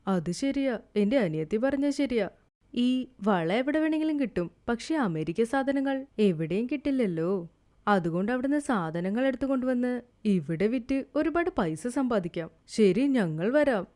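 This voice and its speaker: A woman's voice: on the high side, lively intonation, normal speed, darkish tone, close to an everyday voice. A woman voicing a practical, sceptical elder sister in a village storybook tale, matter-of-fact and scolding. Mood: resigned.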